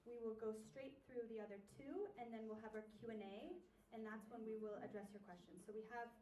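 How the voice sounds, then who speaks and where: A woman moderates a seminar and moves things along in a plain, orderly manner. Her voice sits a little high. She is heard from a distance in an echoey room with faint hiss behind her. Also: steady tone, a little fast, dark tone.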